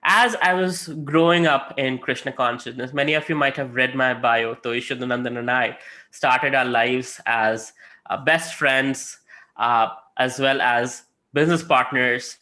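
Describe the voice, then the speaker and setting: A man's voice: mid-range voice, a little slow. A man in his thirties, not a native English speaker, giving a sermon through personal stories. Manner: storytelling, animated, rising to emphatic peaks. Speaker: guest speaker.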